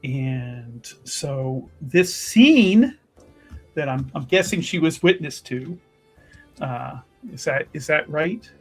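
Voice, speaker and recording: A man's voice: a little high, expressive, takes it slowly, husky. A man playing a tabletop game, describing his character in hesitant, piecemeal phrases. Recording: music playing behind the voice.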